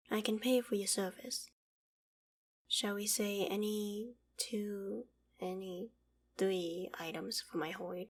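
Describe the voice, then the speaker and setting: A woman playing an ancient female dragon in an ASMR roleplay, proud and curious, speaking in a measured, formal, archaic way. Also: mid-range voice, natural voice. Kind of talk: ASMR roleplay audio drama, addressing the listener.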